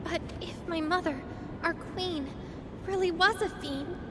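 High, earnest young heroine. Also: female voice, a little slow, noisy background. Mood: troubled. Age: young adult. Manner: earnest, expressive. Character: earnest, feisty young woman mechanic, secretly a princess. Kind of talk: video game cutscene acting. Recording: long hall reverb, music underneath.

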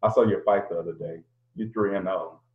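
A teasing young woman in a boxing gym, as a man reads her lines. The voice stays soft and a bit low, and it sounds muffled in a roomy space. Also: fairly even intonation, brisk, close to an everyday voice. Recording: roomy lo-fi home recording.

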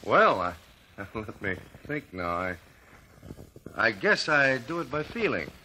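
Courtroom eyewitness, earnest, hesitant, very bright tense tone, animated pitch, old radio drama. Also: male voice, slow pace, slight background noise, exaggerated voice, low-quality recording. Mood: flustered. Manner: hesitant, stammering under questioning. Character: earnest male eyewitness, easily flustered, eager to be believed.

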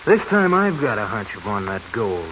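An actor playing a greedy, domineering treasure diver with an insistent, bossy manner in a 1940s radio drama. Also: male voice, fairly low pitch, very animated, moderate pace. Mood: commanding, impatient. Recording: noisy, dull 1940s radio recording.

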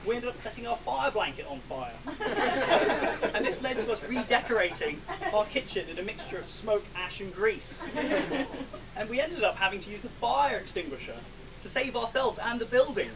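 An English man in his thirties gives a best man's toast, his voice high and lively as he lands dry jokes between ums. He is heard from across a big wedding marquee by a distant camera. The sound is dull and muffled, with clear room noise behind him. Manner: dry joking delivery with ums and pauses for laughs. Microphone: far camera, no mic.

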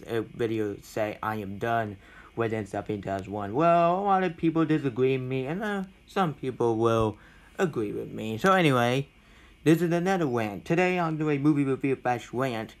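A young man giving an informal movie rant, blunt and complaining, in a halting, repetitive way. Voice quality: self-described deep voice. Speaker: movie reviewer.

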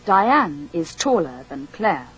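Woman, course reader, very husky voice, lively intonation, slow careful delivery. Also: on the low side. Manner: slow, deliberate, carefully enunciated. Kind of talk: scripted English drill.

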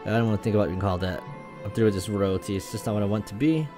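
A man's voice: fairly low pitch, steady tone, very fast, music in the background, natural voice. A man voicing an earnest, plainspoken young woman, a royal mech pilot in a visual novel. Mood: firm, weary.

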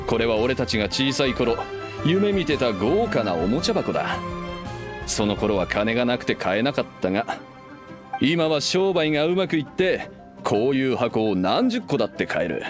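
A man's voice: mid-range voice, sing-song, moderate pace, music in the background, natural voice. A man playing a friendly, proud young merchant in an anime game, with casual, easygoing speech. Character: friendly self-made young male merchant, nostalgic and proud. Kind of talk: Japanese anime game voice acting.

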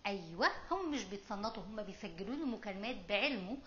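A woman's voice: mid-range voice, lively intonation, quick pace, lo-fi sound, crowd noise. A middle-aged woman with an Egyptian accent giving informal, emphatic explanatory commentary to camera, mixing in English terms. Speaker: host of a political explainer video series.

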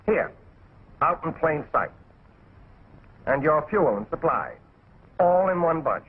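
An American man voicing a brisk, bossy but encouraging cartoon chameleon instructor in a 1940s military training film, with a slightly raspy, folksy delivery. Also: on the high side, very animated, full character voice. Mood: instructive. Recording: muffled 1940s film soundtrack, faint music.

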